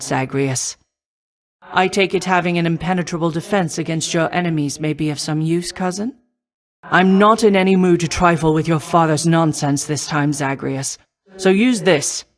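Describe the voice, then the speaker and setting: A woman playing a noble, protective war goddess in a video game, speaking in formal, measured, old-fashioned phrasing. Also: deep voice, fairly even intonation, close to an everyday voice. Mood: stern. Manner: formal, measured, archaic stately diction. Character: noble female war goddess, poised, protective, confident mentor. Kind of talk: scripted video game voice acting.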